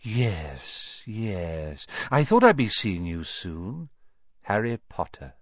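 A man as eerie old storybook wandmaker, soft, swooping high and low, halting and musing, excitable, slightly muffled dry recording. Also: no echo, full character voice. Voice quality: soft-voiced. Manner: musing, halting, savouring each detail.

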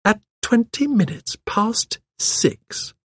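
Male reader as an old village lady, high, swooping, prim, gossipy, shrewd, storybook dialogue. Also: lively intonation, slow, a bit bright, slightly rough, no room sound, clean studio sound, full character voice. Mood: politely puzzled. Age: older. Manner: prim, musing, digressive. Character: gentle, shrewd, gossipy elderly English village spinster. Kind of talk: audiobook character dialogue.

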